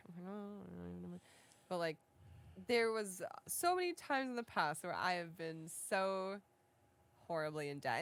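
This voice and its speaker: A woman in her thirties talks in a rambling, casual way, and her pitch swings widely as she goes. She laughs easily and swears without a second thought, like a podcast co-host catching up with a friend. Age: 30s. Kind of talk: casual chat.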